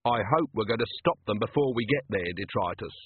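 Man playing a terse, husky commanding officer. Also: on the low side, animated, a little fast, no room sound, phone-quality sound. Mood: dry. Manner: terse, wry, authoritative. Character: dry, stubborn, commanding male officer.

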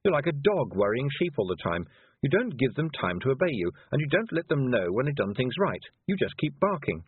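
A man voicing a stubborn, plain-spoken young girl witch in a storybook reading, blunt and quietly critical. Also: medium pitch, sing-song, a little fast, breathy edge, no room sound, voice pushed up. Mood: indignant. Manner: blunt, muttering. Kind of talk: audiobook character voice. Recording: muffled narrow-band phone-like sound.

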